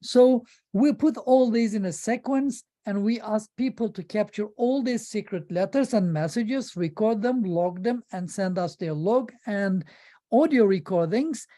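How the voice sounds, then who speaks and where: Mellow-voiced woman. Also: mid-range voice. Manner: halting non-native English with frequent pauses. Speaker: amateur radio presenter. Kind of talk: informal slide presentation.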